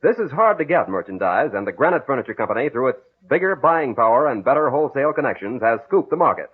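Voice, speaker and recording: A man's voice: mid-range voice, sing-song, quick pace, breathy edge. An American man announcing in formal, scripted 1940s radio style. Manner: formal scripted sales and credit reading. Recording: phone-like old radio recording, music.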